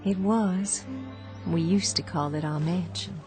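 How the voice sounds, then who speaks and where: A woman playing a gracious, quiet young woman on the porch of a war-ruined house, in a radio drama. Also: on the low side, measured pace, over music, lightly acted. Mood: gracious, mournful. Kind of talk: 1960s-style radio drama acting.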